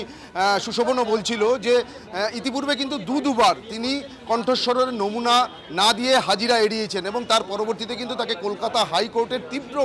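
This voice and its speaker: Crowd and street bustle, male field reporter, high pitch, rapid delivery, live news report. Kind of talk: live on-location reporting and interviewing. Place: outdoors outside a city court. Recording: outdoor street noise, busy crowd.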